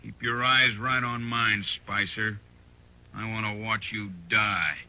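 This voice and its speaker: A man's voice: fairly low pitch, steady tone, slow pace, background noise. A man acting in a 1950s western radio drama as a nosy, needling frontier townsman, with folksy, prying talk. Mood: defiant. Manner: prying, folksy, hesitant phrasing. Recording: dull old radio recording, music behind.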